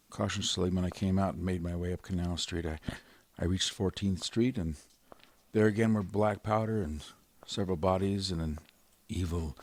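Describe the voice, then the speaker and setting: An actor narrating as a solemn professor in a 1930s radio drama, measured and reflective. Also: male voice, deep voice, no room sound. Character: grave middle-aged male professor, survivor. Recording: vintage 1930s radio broadcast.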